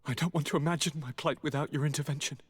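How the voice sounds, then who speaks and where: An actor playing an ailing, despairing young nobleman in a video game, with a breathy, husky voice. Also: fairly high pitch, fairly even intonation, fast talker. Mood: weary, grateful. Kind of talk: video game cutscene acting.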